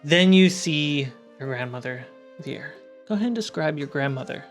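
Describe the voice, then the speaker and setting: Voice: medium pitch, expressive, moderate pace. A game master narrating scenes in a tabletop roleplaying game. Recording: background music under the voice. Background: music.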